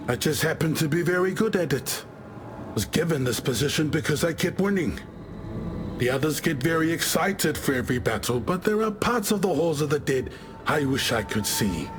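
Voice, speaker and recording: Man acting an expressive, easygoing island warrior chieftain. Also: fairly high pitch, normal speed, noisy background, natural voice. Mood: laid-back candor. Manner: wry, expressive storyteller. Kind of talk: video game character dialogue. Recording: music behind the voice. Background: game music.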